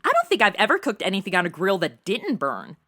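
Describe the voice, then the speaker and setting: A woman's voice: mid-range voice, huge pitch swings, quick pace, bright, forceful tone, exaggerated voice. A woman playing a brash, ditzy, sarcastic modern young woman in a comedic audio drama, with slangy, theatrical delivery. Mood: whiny, musing.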